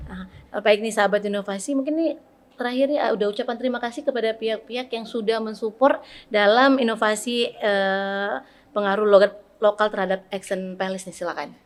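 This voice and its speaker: A woman's voice: medium pitch, steady tone, a little fast. A woman hosting a podcast, chatting casually with guests and encouraging listeners in a friendly, filler-rich way. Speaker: podcast host. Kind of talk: casual interview chat.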